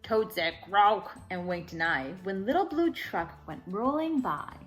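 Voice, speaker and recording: A woman hosting a children's story time, reading a picture book aloud in an expressive, sing-song way. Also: fairly low pitch, measured pace, faint music behind.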